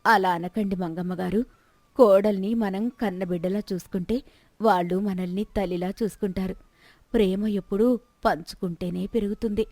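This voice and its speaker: A woman voicing a sharp-tongued, two-faced older village mother-in-law with theatrical delivery in a story. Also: mid-range voice, steady tone, moderate pace, no room sound, close to an everyday voice. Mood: syrupy. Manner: theatrical, scolding or syrupy.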